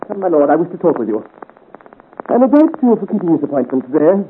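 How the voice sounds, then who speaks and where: A man's voice: fairly high pitch, very animated, fast talker, phone-quality sound. An actor playing a commanding, gracious French king with clipped, regal diction in a 1950s radio serial. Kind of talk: 1950 radio serial acting. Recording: scratchy, thin, noisy 1950s radio recording.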